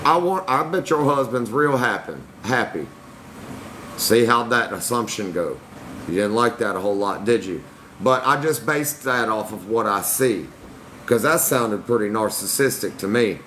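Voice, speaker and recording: A man's voice: lowish voice, fairly bright tone. A young man talking bluntly and defensively to his livestream chat. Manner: blunt, defensive, Southern-tinged slang. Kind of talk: casual livestream talk to chat. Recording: noisy room with air conditioning hum.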